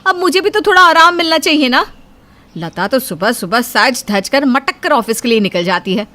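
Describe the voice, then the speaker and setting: A woman's voice: high-pitched, expressive, normal speed, pushed and bright. A woman playing a jealous, spiteful housewife in a cartoon moral story, sarcastic, bossy and full of complaints. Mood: resentful complaining. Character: spiteful, jealous elder sister-in-law, grumbling housewife.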